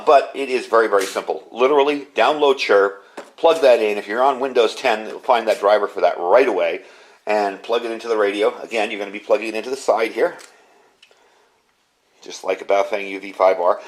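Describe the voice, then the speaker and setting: A man's voice: fairly low pitch, a little fast. A man giving a casual product review and demonstration, explaining things informally and with enthusiasm. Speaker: ham radio product reviewer.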